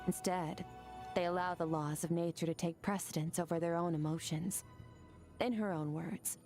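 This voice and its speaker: Actress as an aloof, low-voiced swordswoman, cool and measured. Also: fairly even intonation, close to an everyday voice. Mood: calm, reflective. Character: aloof, stoic young swordswoman from another world. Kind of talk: scripted video game character monologue. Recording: game audio with music underneath.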